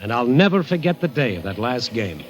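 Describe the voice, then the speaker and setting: An American actor playing a wry, friendly sidekick who also tells the story in a 1940s radio drama. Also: male voice, mid-range voice, big pitch swings, a little fast, natural voice. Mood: reminiscing. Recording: old radio broadcast, loud music.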